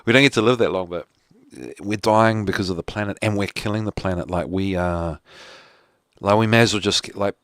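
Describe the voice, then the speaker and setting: A man's voice: fairly low pitch, brisk, no room sound, studio quality. A man with a New Zealand accent hosting a casual solo talk show, rambling with lots of fillers and restarts. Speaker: podcast host.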